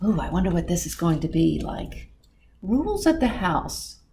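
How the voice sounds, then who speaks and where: A woman's voice: on the low side, big pitch swings, normal speed, mellow. A woman reading a children's storybook expressively, with asides spoken directly to young listeners. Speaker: children's librarian, storytime reader. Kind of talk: animated children's storybook read-aloud with asides.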